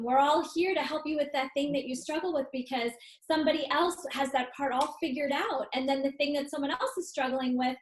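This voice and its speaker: A woman moderating a writers' panel over a video call, speaking softly and encouragingly as a writing teacher. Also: sits high, reverberant. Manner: encouraging, soft-spoken. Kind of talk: casual panel chat.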